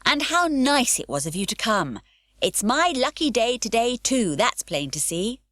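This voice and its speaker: A woman's voice: fairly high pitch, big pitch swings, unhurried, fairly bright tone, no echo, clean studio sound, voice pushed up. A woman voicing a fearless, cheerful nine-year-old girl who talks boldly and gleefully. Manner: bold, gleeful and carefree.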